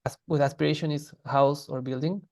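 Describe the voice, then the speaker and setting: Gravelly-voiced man teaching a language class. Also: on the high side, fairly quick. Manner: patient, relaxed, with many fillers and restarts. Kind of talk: explaining grammar in a class.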